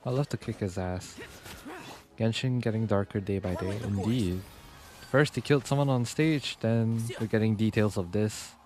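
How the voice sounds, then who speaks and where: A man's voice: on the low side, moderate pace, darkish tone. A man giving casual, slangy live reactions as a game streamer, often in short exclamations. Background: game music.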